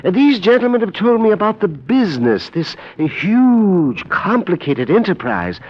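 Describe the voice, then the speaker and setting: A man's voice: high voice, sing-song. A bewildered businessman with amnesia. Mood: puzzled, polite. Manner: hesitant, halting, full of uh's. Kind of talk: 1950s radio drama acting. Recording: dull old radio broadcast.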